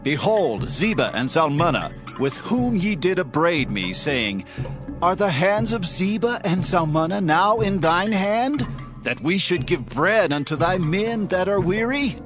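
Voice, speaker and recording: A man plays a forceful ancient war leader in a scripture drama. His voice is fairly high and swings widely, declamatory and righteous, over faint music. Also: big pitch swings, unhurried. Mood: vengeful threat. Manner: declamatory, theatrical.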